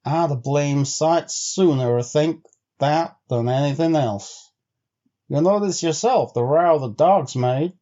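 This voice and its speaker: A grave, uneasy trail man acted by a male storyteller who presses his point deliberately. His rustic, old-time talk comes slowly, in a fairly soft-edged tone, as a storybook character. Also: on the high side, muffled recording, voice pushed up. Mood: brooding. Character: uneasy, insistent sled-dog driver, an adult man.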